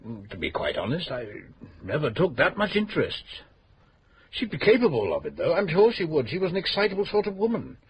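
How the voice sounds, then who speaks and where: A man's voice: a little high, huge pitch swings, a little fast. A flustered middle-aged widower in a classic radio drama. His voice swings up and down a great deal, stumbling over fillers and trailing off evasively. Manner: hesitant, full of uhs, flustered. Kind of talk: 1970s-80s radio drama acting.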